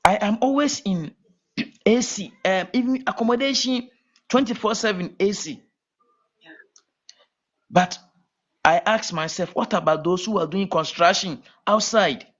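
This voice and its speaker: A man from Ghana with a Ghanaian accent, talking as a guest in a casual call-in interview, halting and repeating his words. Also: high voice, fairly bright tone. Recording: muffled lo-fi call audio.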